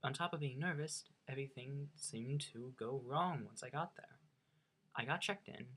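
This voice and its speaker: A young man with a faint Norwegian accent tells a personal story casually. He talks in a chatty, self-mocking way and often stops to restart his sentences. His voice sits at an ordinary pitch for a man. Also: normal speed, sharp tone, breathy edge. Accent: slight Norwegian. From United States. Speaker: vlogger.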